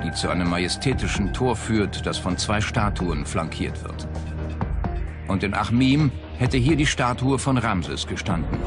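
A man reading scripted narration as a documentary narrator. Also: mid-range voice. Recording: loud music bed behind the voice. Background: background music.

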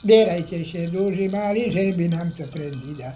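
A woman's voice: lowish voice, normal speed, background noise. An older woman, reciting traditional rhymed carolling verse.

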